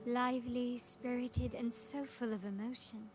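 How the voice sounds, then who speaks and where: A woman voicing a poised, composed young noblewoman in a video game, speaking in a measured, refined way. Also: fairly high pitch, flat delivery, natural voice. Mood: fond, nostalgic. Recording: game music behind the voice.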